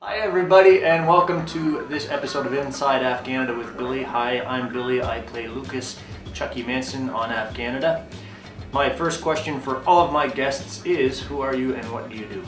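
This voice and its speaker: A man hosting an interview, asking playful questions with deadpan teasing. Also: mid-range voice, moderate pace, echoey room, distant mic, soft background music. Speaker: interview host.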